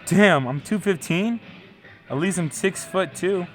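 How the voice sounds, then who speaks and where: Goofy, cocky young buddy male actor, fairly high-pitched, eager, over a music bed. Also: a little slow. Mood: griping. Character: big boastful goofy young man, eager friend. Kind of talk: audio fiction dialogue.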